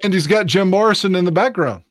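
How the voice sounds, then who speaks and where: A man's voice: sits high, fast talker. A man co-hosting a podcast, easygoing and apologetic, drifting off topic in casual chat. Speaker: podcast co-host.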